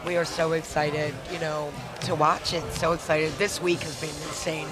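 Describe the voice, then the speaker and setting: A hoarse woman co-hosting a casual show, upbeat and eager, reading an ad and chatting. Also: low-pitched, flat delivery, normal speed, music in the background. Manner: upbeat, eager, agrees often. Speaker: co-host. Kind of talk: casual chat and ad read.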